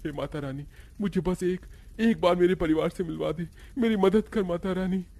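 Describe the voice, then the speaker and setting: A man's voice: sits high, moderate pace, vocal fry, mellow, no room sound, pitched above the performer's own voice. A man playing a devout young villager, anxious and pleading, in a horror cartoon story. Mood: desperate praying.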